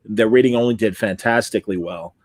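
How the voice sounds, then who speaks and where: A man's voice: fairly low pitch, fairly quick, a little mellow. A man hosting a casual interview, chatty and effusively affirming. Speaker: interviewer and host.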